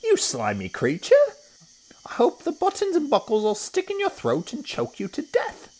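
Excitable, very high-pitched storybook monkey. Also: male voice, measured pace, heavily acted. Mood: horrified, indignant. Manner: squealing, exclamatory. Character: excitable, indignant, kindly male monkey.

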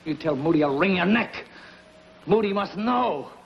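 On a muffled old film soundtrack with music, a middle-aged gangster in a 1950s crime drama. His fairly high male voice is terse, touchy and brooding. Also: lively intonation, normal speed, a little hiss or hum. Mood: tense, threatening.

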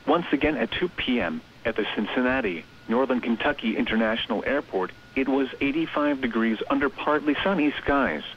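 A text-to-speech man delivers scripted forecasts in an impersonal, measured manner. His voice sits at a middle pitch. It comes over weather radio, slightly muffled with a faint hiss behind it. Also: animated. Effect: radio transmission.

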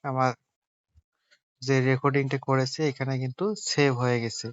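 Even-toned man giving instructions. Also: medium pitch, moderate pace, dull audio. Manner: instructional, repetitive step-by-step phrasing. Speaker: tech tutorial host. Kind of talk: step-by-step phone app tutorial narration.

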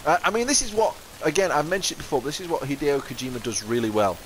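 A man's voice: fairly high pitch, fast talker, a bit bright, a little hiss or hum, rough recording. A man with a South Yorkshire accent giving casual, rambling gameplay commentary, often correcting himself mid-sentence. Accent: South Yorkshire English. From England. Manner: rambling, chatty, self-correcting, laughs often. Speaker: gaming channel host. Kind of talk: casual let's-play commentary. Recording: game music faintly behind.

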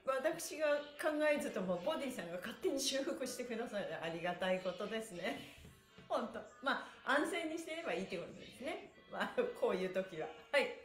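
A woman's voice: fairly high pitch, big pitch swings, fairly quick, soft background music. A middle-aged woman leading a live-streamed stretching lesson, giving cheerful, encouraging, polite instructions and counted chants. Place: small bare-walled room indoors. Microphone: camera a few feet away. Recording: roomy echo from camera distance.

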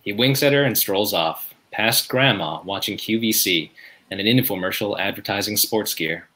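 A man's voice: lowish voice, normal speed, bright, forceful tone, low-quality recording. A man reading scripted stage directions as narrator at a table read.

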